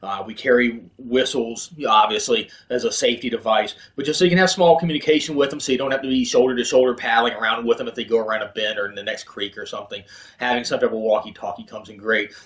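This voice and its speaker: A man presents in a conversational, practical style, like a hobby video host explaining equipment. His pitch sits in the middle of the male range. The recording is noticeably echoey, with the room audible around his voice. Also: fast, a bit bright. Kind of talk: casual instructional product talk.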